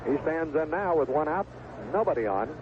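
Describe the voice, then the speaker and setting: A man's voice: a little high, expressive, normal speed. A man calling live baseball play-by-play on the radio in a folksy, colorful way, recorded at the ballpark. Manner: folksy, colorful turns of phrase. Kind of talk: live play-by-play sports commentary. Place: outdoor ballpark broadcast booth. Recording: tinny, noisy 1970s AM radio broadcast.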